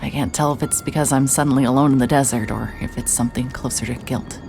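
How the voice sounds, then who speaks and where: An actress playing a hard-hearted private investigator who stammers and restarts when anxious, also narrating in first person. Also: female voice, rumbling low, fairly even intonation, quick pace, vocal fry, music in the background. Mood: reflective. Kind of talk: audio drama acting and first-person narration.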